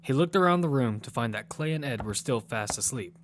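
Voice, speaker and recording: A man's voice: mid-range voice, expressive, fairly quick, background noise. A man giving scripted narration for a piece of audio fiction. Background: music.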